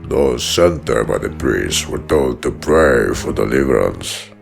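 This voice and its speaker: A man playing a brooding, menacing prison-hardened villain who narrates his origin in a gravelly, solemn, deliberate voice for a comic dub. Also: very deep voice, animated, exaggerated voice. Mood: grim, resolute. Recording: music behind the voice.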